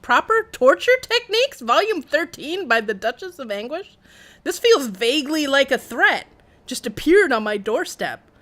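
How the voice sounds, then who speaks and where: A woman streaming a video game, commenting and reading in-game text aloud in a wry, chatty, expressive way, with game music behind her. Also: high-pitched, moderate pace.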